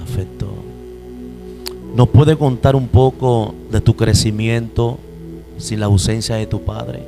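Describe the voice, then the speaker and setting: A man, a pastor hosting an interview, calm and affirming. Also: mid-range voice, takes it slowly, vocal fry, over music.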